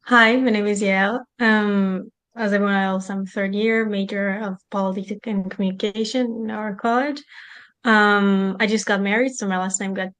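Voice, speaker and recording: A woman's voice: mid-range voice, fairly even intonation, normal speed, a bit bright. A young woman, chatty as she introduces herself as a student. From Israel. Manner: chatty, with small laughs. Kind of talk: self-introduction.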